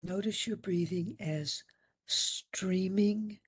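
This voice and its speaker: A woman leading a guided meditation as instructor, speaking soothingly in short phrases with long pauses between them. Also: mid-range voice, husky.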